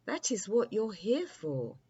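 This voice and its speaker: A woman's voice: medium pitch, very animated, slow pace, mellow, dull audio, a little hiss or hum, voice pushed up. A woman voicing friendly invisible dream spirits in a storybook, with a welcoming, assured manner.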